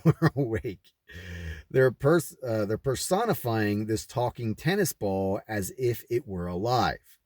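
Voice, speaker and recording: A man's voice: mid-range voice, darkish tone, dry recording, clean studio sound. A man reading aloud and adding his own off-the-cuff commentary, with emphatic, rhetorical delivery. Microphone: recording himself on camera.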